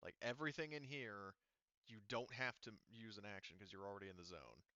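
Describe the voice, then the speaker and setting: A man's voice: lowish voice, a little fast, bright, forceful tone, dry recording. A man acting as game master, explaining tabletop rules in an insistent, explanatory way on a close mic. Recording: slightly dull, lo-fi voice recording.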